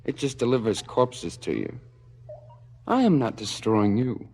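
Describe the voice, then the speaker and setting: An actor playing a rich, ruthless, vengeful son in a stylized radio drama, cold, mocking and sure of himself. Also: male voice, mid-range voice, sing-song, a little slow, creaky, a little mellow, breathy edge, full character voice.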